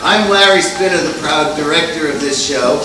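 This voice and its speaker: Male theatre director, live curtain speech, slightly high voice, very roomy distant recording. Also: moderate pace. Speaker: theatre director introducing a show. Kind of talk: live welcome speech to an audience. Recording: echoey theatre from far off, faint noise.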